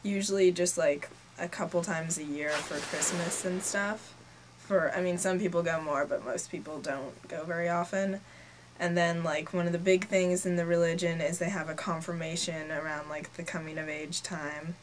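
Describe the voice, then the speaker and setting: A woman's voice: on the low side, fairly even intonation, normal speed, slight background noise, rough recording. A nineteen-year-old woman from Norway, a native Norwegian speaker, answering interview questions in a casual way with lots of "like". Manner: casual, frequent "like" as filler.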